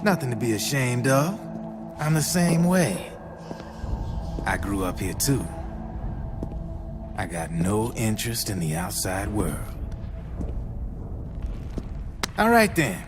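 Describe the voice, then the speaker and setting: Slick game arms dealer: deep register, slangy, smug salesman patter over prominent music. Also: big pitch swings, a little slow, long pauses. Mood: upbeat sales pitch. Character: slick, cynical male arms dealer, folksy street hustler. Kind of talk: video game cutscene acting. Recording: loud music underneath.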